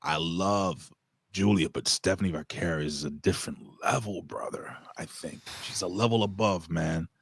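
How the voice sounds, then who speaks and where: A man with a New York accent hosting a casual wrestling review chat, excitable and swearing freely. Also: deep voice, normal speed, husky, no echo. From Puerto Rico. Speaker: show host.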